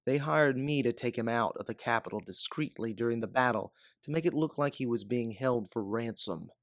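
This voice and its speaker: Man as aged mercenary, calm, self-justifying, measured delivery, dry recording. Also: mid-range voice, no room sound, natural voice. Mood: somber explaining. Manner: measured, explaining calmly.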